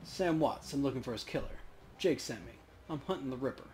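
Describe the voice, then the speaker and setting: A man's voice: medium pitch, lively intonation, a little fast, darkish tone. A man hosting a let's play, giving casual running commentary on the game. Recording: faint background noise.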